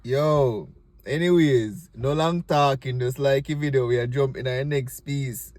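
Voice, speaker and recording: A man's voice: mid-range voice, a little slow, a little mellow, dry recording. A man with a Jamaican accent hosting a comedy video in a casual, chatty style. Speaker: host of a comedy clip show. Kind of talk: casual video intro and reaction.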